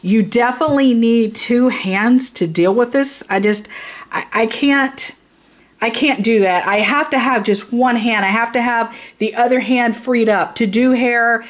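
Woman, fairly high voice, tired. Unscripted reviewer, blunt, informal, circles back to repeat points. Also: measured pace. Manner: rambling, repeats herself for emphasis. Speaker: product reviewer and hairstylist. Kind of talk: casual, unscripted product review.

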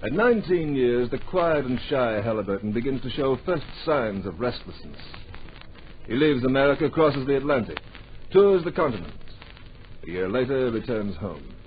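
A man's voice: medium pitch, big pitch swings, moderate pace, natural voice. A man giving dramatic scripted narration for a radio drama in clipped, portentous phrases. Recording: dull, hissy old radio recording.

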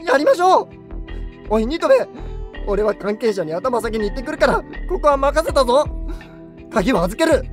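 A man's voice: extremely high pitch, sing-song, moderate pace, long pauses. A senior studio man in an audio drama, intense and emotional. His intonation swings widely and his phrasing is blunt, with faint music behind. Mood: resolved. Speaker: voice actor. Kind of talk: Japanese audio drama acting.

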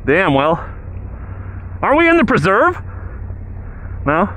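A man's voice: high-pitched, takes it slowly. A man talking through his motorcycle ride outdoors, exclaiming and laughing as he goes, on a helmet mic. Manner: excited, exclaiming, laughing often. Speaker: motorcycle vlogger. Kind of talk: riding commentary vlog. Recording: noisy outdoor riding audio.

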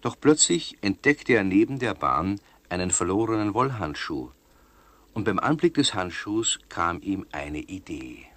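A deep-voiced storyteller narrates a children's tale in a radio play. The sound is close and dry, the delivery that of scripted narration. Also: male voice, big pitch swings, measured pace, a bit bright, no room sound, full character voice. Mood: storytelling.

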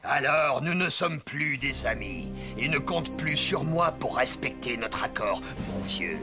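A man's voice: medium pitch, expressive, a little slow, close to an everyday voice. An actor voicing a sly big bad wolf in a French cartoon, scheming and sneering as he draws out his threats. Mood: indignant, menacing. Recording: loud music under the voice.

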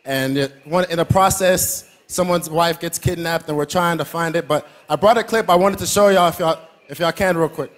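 A young man, a guest comedian on a studio stage, answering questions in a relaxed, humble way into a handheld mic. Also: a little high, normal speed, faint music behind. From Kenya. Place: large indoor studio stage with audience.

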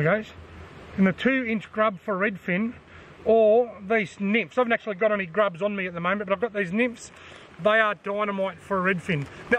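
A man's voice: high-pitched, fairly bright tone, a little hiss or hum, low-quality recording. An Australian man in his forties, talking casually to camera outdoors at the edge of a forest, explaining things in a practical way and repeating the main points. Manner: chatty, practical, repeats key points for the viewer. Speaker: fishing channel host. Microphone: camera on a tripod at chest height.

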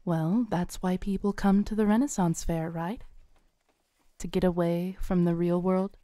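A young woman with a smooth, low, mellow voice plays a fair fortune teller. She speaks softly, coaxing and gently teasing, close to the mic in a dry room. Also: steady tone, unhurried, dry recording. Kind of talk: scripted roleplay audio.

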